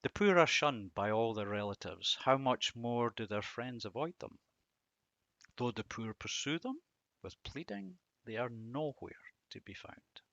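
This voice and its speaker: A man's voice: medium pitch, expressive, a little slow, a bit bright, dry recording, lo-fi sound. A middle-aged man giving a reflective devotional talk on scripture, asking rhetorical questions and repeating words for emphasis. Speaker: Bible teacher and host.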